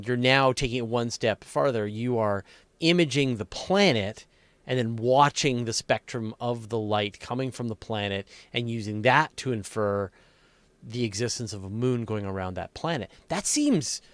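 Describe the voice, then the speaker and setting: A man's voice: mid-range voice, animated, normal speed, dry recording. A man hosting a conversational science interview, inquisitive and restating ideas step by step. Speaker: interview host.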